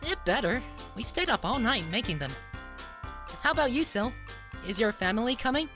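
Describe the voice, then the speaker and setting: A woman's voice: on the high side, big pitch swings, normal speed, over music. A woman voicing a teasing, casual young stallion, an older brother in a cartoon-style audio drama.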